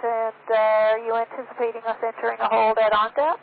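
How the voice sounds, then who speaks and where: A man's voice: very high-pitched, monotone, brisk, vocal fry. A man speaking as an airline pilot, making radio calls to air traffic control. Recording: tinny radio with faint static.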